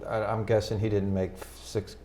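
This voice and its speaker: A man's voice: on the low side, flat delivery, moderate pace, a crowd in the background. A man hosting a local TV interview, asking friendly conversational questions. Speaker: TV interview host. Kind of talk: casual interview.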